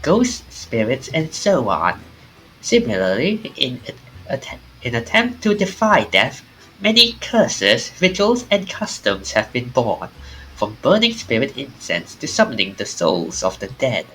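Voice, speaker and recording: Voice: medium pitch, wildly swooping pitch, measured pace, fairly bright tone, a little hiss or hum, low-quality recording. A streamer reading a mysterious, courteous narrator of ghostly tales aloud, in a formal, theatrical manner with small stumbles. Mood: solemn. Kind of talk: reading visual novel dialogue aloud.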